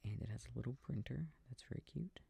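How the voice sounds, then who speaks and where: A man softly rambling to himself as he plays a video game for a sleep aid, often dropping into whispers, with a breathy, gravelly voice close to the mic. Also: mid-range voice, fast, dry recording. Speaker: audio creator playing a video game. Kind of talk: soft rambling gameplay talk for a sleep aid. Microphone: close, dry microphone.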